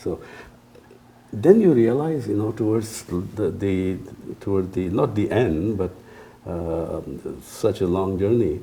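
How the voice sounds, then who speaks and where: An elderly man from India, a native Urdu speaker, recounting his life in a halting, meandering way as an interview guest. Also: on the low side, lively intonation, dark tone. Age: 70s or older. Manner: halting, meandering storytelling with hesitant pauses. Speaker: interview guest, retired police officer. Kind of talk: casual reminiscing interview.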